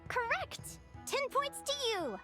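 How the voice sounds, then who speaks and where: A woman's voice: extremely high pitch, wildly swooping pitch, slow, full character voice. A woman voicing a cheerful young female adventuring advisor in a video game, playful and encouraging like a teacher running a quiz. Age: young adult. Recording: music behind the voice. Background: game music.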